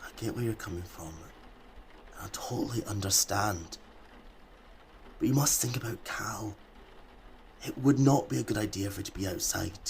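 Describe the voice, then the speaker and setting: An actor playing a calm, reasoning male survivor who speaks in a protective, level-headed manner in an audio drama. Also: lowish voice, moderate pace, creaky, long pauses. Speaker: survivor in an underground group.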